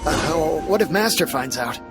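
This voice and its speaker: A man's voice: a little high, lively intonation, unhurried. A man voicing an easily cowed, formal household guard in a video game, with music behind him. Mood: wary, official. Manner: stammering, apologetic, formal. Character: nervous servile male guard, easily cowed.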